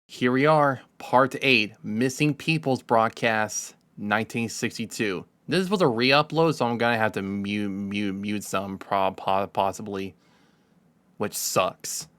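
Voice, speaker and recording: A man hosting a reaction video with casual, slangy commentary, moving between animated exclamations and muttering. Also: fairly low pitch, measured pace, slight background noise. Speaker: YouTube reaction host.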